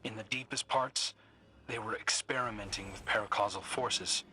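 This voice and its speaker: A man's voice: on the low side, moderate pace, pushed and bright, slight background noise, rough recording. A man voicing a seasoned male fighter in a video game, talking in a plainspoken, conversational way. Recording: game audio over ambience.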